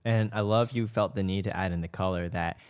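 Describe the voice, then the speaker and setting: A man's voice: deep voice, steady tone, brisk, smooth. A man hosting a card game in casual, playful banter, teasing and slangy.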